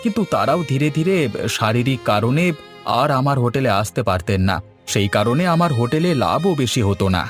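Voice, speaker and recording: A man's voice: medium pitch, animated, fairly quick, close to an everyday voice. Man voicing a hearty village shopkeeper. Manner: hearty, hawking, eager to please. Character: cheerful village biryani shop owner, husband. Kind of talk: Bengali cartoon voice acting. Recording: background music.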